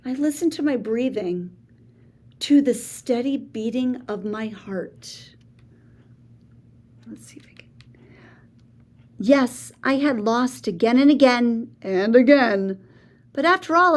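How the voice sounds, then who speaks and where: A woman reading a children's picture book aloud, expressive, with gasps and hushed asides to her listeners. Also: on the high side, takes it slowly, pauses often. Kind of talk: read-aloud storytelling for children.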